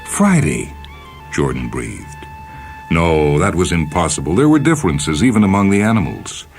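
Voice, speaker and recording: A man narrating a short story for radio in a measured, expressive, dramatic style. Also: extremely low pitch. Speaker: reader of short fiction on a radio show. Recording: music bed under the voice. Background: music.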